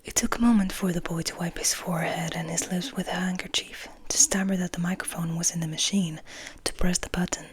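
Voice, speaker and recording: A woman reading a story aloud in a gentle, hushed, breathy ASMR whisper. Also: fairly low pitch, fairly even intonation, fairly quick, few pauses.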